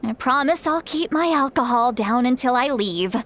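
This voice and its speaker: A wry ancient sorceress in a young voice. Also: female voice, sits high, expressive, fairly bright tone. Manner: unhurried, teasing, self-mocking. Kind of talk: video game voice acting. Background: game music.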